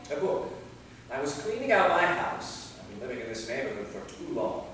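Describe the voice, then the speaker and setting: A man's voice: mid-range voice, big pitch swings, a little fast, a bit bright. A man playing a weary, easily exasperated immortal vampire in a staged comedy reading. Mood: earnest, flustered. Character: exasperated immortal male vampire from the Middle Ages. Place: theater, recorded from a distance. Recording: echoey hall, far mic, faint noise.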